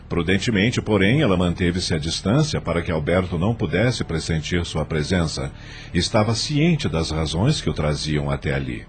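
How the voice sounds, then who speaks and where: A man's voice: low-pitched, lively intonation, normal speed, slight background noise. A man with a Brazilian accent narrating an audio novel as scripted, dramatic storytelling.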